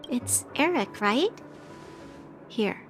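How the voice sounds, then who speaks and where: A woman voicing a poised, dignified young female commander in formal, measured game dialogue. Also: on the high side, animated, fairly bright tone, faint music behind, exaggerated voice. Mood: composed.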